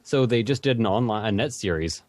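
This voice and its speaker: A man's voice: fairly low pitch, lively intonation, fairly quick. Blunt swearing man, slight Canadian accent. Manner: blunt, swears a lot, laughs between lines. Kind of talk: casual gaming chat.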